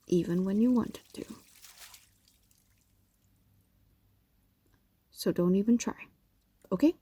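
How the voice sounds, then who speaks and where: Woman acting a possessive, slow-spoken fox demoness. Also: mid-range voice, gravelly, dark tone, husky, no echo, heavily acted. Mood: firm, devoted. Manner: coaxing, hesitant explanations with whispers and sighs. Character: possessive, doting yandere female fox demon, ancient and predatory. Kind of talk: intimate audio roleplay acting, addressed to a lover.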